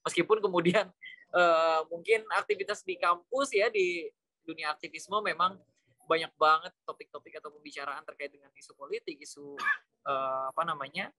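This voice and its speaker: A man's voice: a little high, animated, moderate pace, pushed and bright, dry recording, low-quality recording. A man from Indonesia speaking as an interview guest, informally telling personal stories and mixing in English words. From Cirebon, West Java, Indonesia. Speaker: interview guest, Islamic school educator. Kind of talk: conversational personal storytelling.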